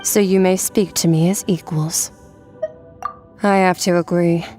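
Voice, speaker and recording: A woman playing an aloof, blunt young warrior from another world in a video game cutscene, deadpan and detached. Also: fairly low pitch, a little slow, close to an everyday voice. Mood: aloof, dismissive. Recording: background music under the voice.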